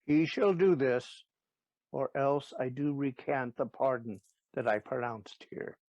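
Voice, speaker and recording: Man playing a stern courteous duke, medium pitch, commanding, formal, dry sound, Shakespearean audio drama. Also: unhurried, darkish tone, no room sound, highs cut off, close to an everyday voice.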